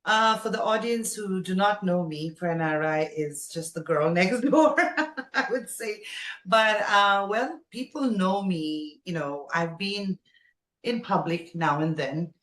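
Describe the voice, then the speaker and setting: A woman's voice: medium pitch, slow pace, fairly bright tone. A woman from Nepal speaking effusively as a guest in a casual conversational interview. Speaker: podcast guest, former radio jockey. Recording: roomy, echoey sound.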